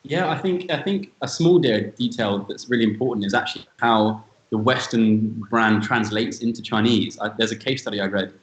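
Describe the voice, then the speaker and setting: A man's voice: on the low side, normal speed, hardly any pauses. A man speaking as a panelist in an online discussion, careful and slightly hesitant. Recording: echoey room.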